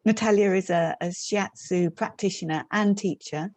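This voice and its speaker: A woman's voice: mid-range voice, moderate pace, darkish tone, dry recording. A woman hosting an interview, introducing and welcoming her guest. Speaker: interview host.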